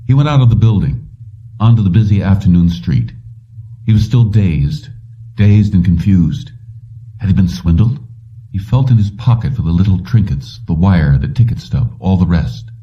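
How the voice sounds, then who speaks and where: A man's voice: deep voice, expressive, normal speed. A man narrating fiction from a script as an audiobook reader. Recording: slightly muffled, distant from microphone.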